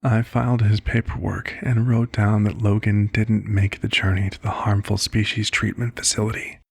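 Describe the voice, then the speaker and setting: Man playing a gravelly, compassionate vampire doctor. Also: fairly low pitch, flat delivery. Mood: grave, tender. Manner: measured, formal, hushed storytelling. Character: compassionate old vampire doctor, fatherly, weary, gently persuasive. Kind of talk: ASMR roleplay monologue.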